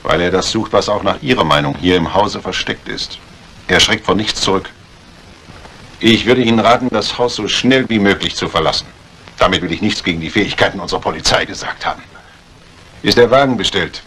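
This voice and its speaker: A grave, matter-of-fact doctor in an old radio thriller. His voice is very low with a bright, firm edge, delivered deliberately. The vintage recording is faintly noisy. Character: grave, businesslike male doctor examining for police. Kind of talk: 1950s German radio crime drama acting.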